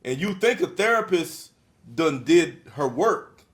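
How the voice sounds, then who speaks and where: Blunt, slangy man, fairly high voice. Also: lively intonation, fairly bright tone. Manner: blunt, emphatic, drawn-out stresses, slangy. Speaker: podcast host. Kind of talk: casual podcast conversation.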